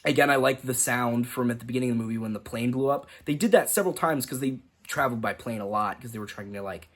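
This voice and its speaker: A man's voice: mid-range voice, fast talker, a bit bright. A young man giving a casual, rambling movie review, full of "like" and restarts. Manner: rambling, lots of fillers and restarts. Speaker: film reviewer.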